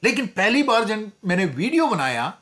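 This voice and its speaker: A man's voice: high voice, big pitch swings, very fast, fairly bright tone. A middle-aged man from Kerala with a Malayali English accent, a vlogger talking through his thoughts and switching between Hindi and English, with fillers and restarts. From Kozhikode, Kerala, India. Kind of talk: casual personal commentary.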